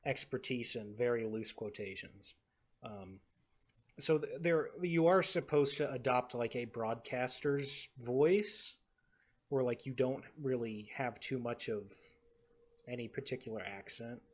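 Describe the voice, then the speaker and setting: A young man from the Texas Panhandle, co-hosting a podcast in casual chat, halting and repeating his words with many fillers. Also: medium pitch. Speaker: podcast co-host.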